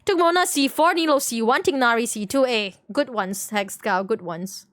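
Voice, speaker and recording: A woman's voice: fairly high pitch, moderate pace, fairly bright tone, no echo. A woman livestreamer chatting casually with viewers in a rambling, slangy way, often repeating her phrases. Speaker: game livestreamer. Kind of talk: casual stream chat with viewers.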